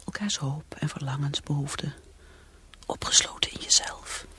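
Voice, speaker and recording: A woman's voice: moderate pace, bright, forceful tone, full character voice. An actress playing a troubled, self-doubting alcoholic woman who craves peace, in an intimate, wavering, confiding radio play monologue. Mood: anguished, weary. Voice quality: breathy, often whispering. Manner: confiding, wavering, highly emotional.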